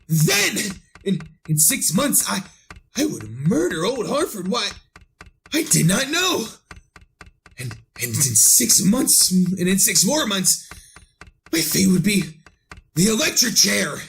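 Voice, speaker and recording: A tormented man on the edge of madness in an old-time radio horror play. He speaks slowly and haltingly, stammering and repeating himself, with pitch that swings wildly. Clear noise sits behind the voice. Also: heavily acted. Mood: distraught. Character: tormented man narrating his own descent into madness.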